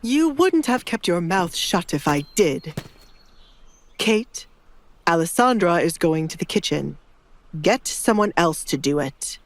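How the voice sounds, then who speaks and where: A woman's voice: on the low side, very animated, slow pace, full character voice. A woman voicing a stern, scheming middle-aged noble father with a grave, warning manner in an audiobook drama. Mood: irritated. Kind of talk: audiobook drama character acting.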